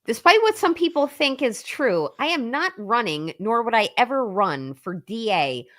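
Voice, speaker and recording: A woman attorney guesting on a show over a video call, joking and bantering quickly in casual chat. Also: on the high side, big pitch swings, fairly bright tone.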